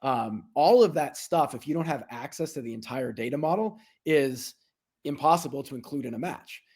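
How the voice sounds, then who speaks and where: A man's voice: mid-range voice, normal speed, darkish tone, breathy edge. A man speaking as a business executive guest, explaining things in an informal, conversational way. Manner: informal explainer, checks in with "right?". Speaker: software company executive, interview guest.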